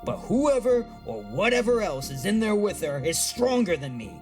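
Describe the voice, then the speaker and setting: A man voices a wisecracking psychic for an audio drama, slightly high for a male and very lively in pitch. He is gruff and impatient, with slangy wise-guy phrasing. Music plays clearly behind him. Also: moderate pace. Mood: strained, urgent.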